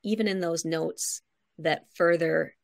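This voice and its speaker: A woman's voice: on the low side, steady tone, unhurried, vocal fry, no room sound, studio quality. A middle-aged woman explaining things conversationally in an interview over a video call, in an encouraging, instructive way. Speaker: guest writing coach. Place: home room, indoors, on a video call.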